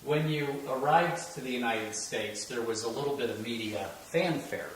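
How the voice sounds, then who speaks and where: A man interviewing an elderly guest, giving soft, encouraging prompts. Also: mid-range voice, moderate pace. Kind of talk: interview questions. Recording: distant, very echoey, faint hiss.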